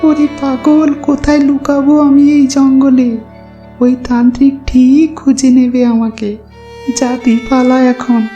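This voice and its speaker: A woman's voice: high-pitched, flat delivery, slow, warm tone, lo-fi sound. A woman voicing a weary, motherly witch with a plaintive manner in a cartoon ghost story. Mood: weary, resigned. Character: weary, betrayed, motherly female witch, kind at heart. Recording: roomy echo, faint music behind.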